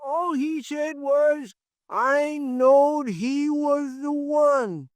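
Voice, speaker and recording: A slow grieving old yard hand. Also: male voice, extremely high pitch, no echo, full character voice. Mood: stubborn. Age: older. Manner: slow rural speech, halting and grieving. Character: frightened old male lumberyard hand, loyal, vengeful. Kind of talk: 1950s radio drama acting.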